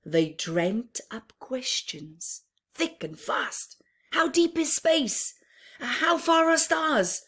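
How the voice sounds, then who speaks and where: A woman reading a picture book aloud for young children, expressively and with chatty asides, pausing between phrases and often dropping to a hushed tone. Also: high-pitched, expressive, slightly rough. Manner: deliberate phrasing with pauses, often dropping to a hushed near-whisper.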